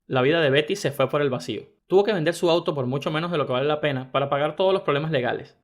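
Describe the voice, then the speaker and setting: A man narrating first-person internet stories in a brisk, even reading style. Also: a little high. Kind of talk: scripted story narration.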